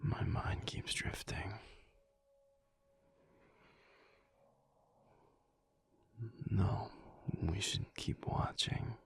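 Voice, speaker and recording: A man playing a tired, tender alpha werewolf boyfriend in an intimate roleplay audio, murmuring drowsily in a breathy, gravelly near-whisper. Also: deep voice, steady tone, fairly bright tone, exaggerated voice. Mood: drowsy, tender. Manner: slow, drowsy, murmuring with long pauses. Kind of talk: intimate roleplay audio acting, addressed to listener.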